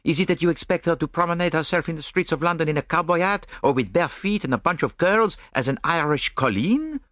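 A man's voice: fairly high pitch, expressive, a little fast, a bit bright, no echo, clean studio sound, pitched above the performer's own voice. A man voicing a vain, fussy middle-aged Belgian detective with a Belgian French accent and a smug, theatrical manner. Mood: vain lecturing.

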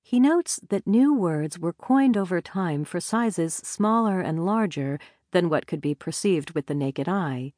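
A woman narrating a nonfiction audiobook in a measured, deliberate reading style on a close studio mic. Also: on the low side, darkish tone, no room sound.

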